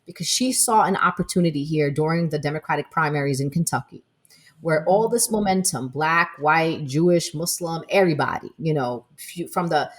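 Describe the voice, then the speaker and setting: A woman with a low, deep voice co-hosts a casual podcast. She talks emphatically and tells stories with energy, posing rhetorical questions and answering them herself. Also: moderate pace. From Palestinian American. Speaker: podcast co-host. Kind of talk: casual political chat.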